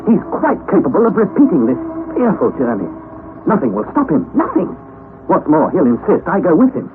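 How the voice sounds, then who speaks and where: A narrow, faintly noisy vintage radio recording carries an earnest young man, a nephew recounting an adventure. His voice is fairly high and very animated. The delivery is dramatic storytelling from old-time radio drama. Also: fairly quick. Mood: astonished, alarmed.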